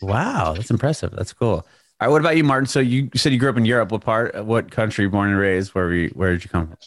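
Man, interviewer, chatty, laughs often, lowish pitch, dry sound. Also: quick pace, no room sound. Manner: chatty, laughs often, friendly filler words. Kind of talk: casual interview over video call. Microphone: video call.

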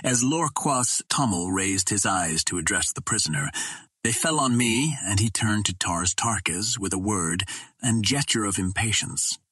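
A man narrating an old adventure novel in a measured, theatrical way, as a scripted dramatic reading. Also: lowish voice, lively intonation, bright, forceful tone, breathy edge, no room sound. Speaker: audiobook narrator.

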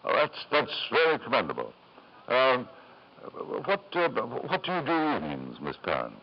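An actor playing a powerful middle-aged tycoon in a radio drama, with halting, smooth-talking insistence. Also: male voice, mid-range voice, wildly swooping pitch. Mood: hesitant charm. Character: powerful, self-satisfied middle-aged British tycoon, hesitant in charm. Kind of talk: 1950s radio drama acting. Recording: dull, noisy 1950s radio recording.

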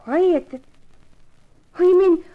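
A young French woman in distress in a vintage radio mystery. Her high voice is formal and careful, uneasy yet resigned, on muffled old 1950s audio. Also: measured pace. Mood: grave resignation. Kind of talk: 1950s radio crime drama acting.

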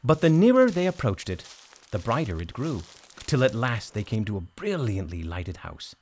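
A man narrating a scripted storybook tale as storyteller, in an expressive, measured way. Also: mid-range voice, slight background noise.

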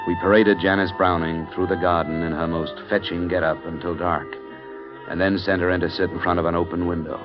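An actor playing a hard-boiled, wry insurance investigator narrating a 1950s radio drama in a clipped manner. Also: male voice, low voice, little pitch movement, brisk, natural voice. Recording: dull old radio recording with music.

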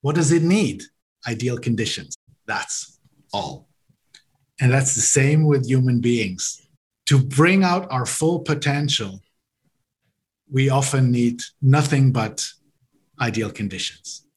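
A man's voice: mid-range voice, animated, slow, long pauses. Male podcast guest, native German speaker from Switzerland, explanatory, a few restarts. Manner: explanatory, uses garden metaphors, repeats words. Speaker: podcast guest, leadership coach. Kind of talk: interview conversation.

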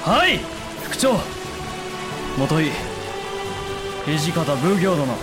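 Earnest young samurai underling, high animated voice, loud music, audio drama. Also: male voice, drawn-out words, heavily acted. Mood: eager, respectful. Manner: earnest, respectful. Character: eager, devoted young samurai subordinate.

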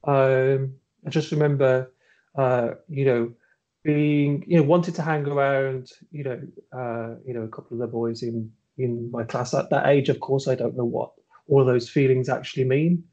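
Man from Birmingham with a Birmingham accent, softly hesitant. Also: mid-range voice, fairly even intonation, moderate pace, lo-fi sound. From Birmingham, England. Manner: hesitant, many fillers and restarts, laughs at himself. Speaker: interview guest. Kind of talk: podcast interview.

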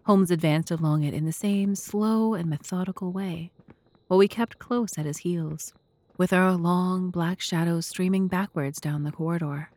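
A woman with a fairly low voice narrates a classic mystery tale. Her delivery is measured and literary, captured close and dry, without room reflections. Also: a little mellow, dry recording. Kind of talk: scripted first-person narration of a classic mystery audio drama.

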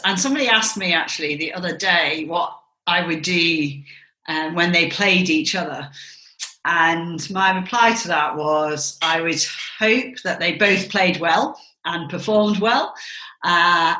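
A middle-aged woman from England talks as a podcast guest, her voice bright and forward. She tells her stories in a measured, reflective way, sprinkling in fillers. The recording carries a noticeable roomy echo. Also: lowish voice. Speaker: interview guest, mother of cricketers. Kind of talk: conversational podcast interview. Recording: roomy echoey sound.

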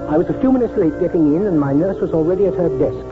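Thin vintage radio sound with loud music under it carries an elderly village doctor. He is weary and reflective, musing to himself as he narrates in a radio drama. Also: high-pitched, lively intonation, very fast, narrow, tinny audio. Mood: preoccupied. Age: older. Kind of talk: 1940s radio drama acting, first-person narration.

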